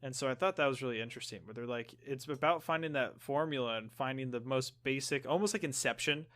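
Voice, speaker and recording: A man talks as a podcast host at a medium pitch. His delivery is loose and conversational, rambling a little as he explains things. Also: fast talker, a bit bright. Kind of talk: casual podcast chat.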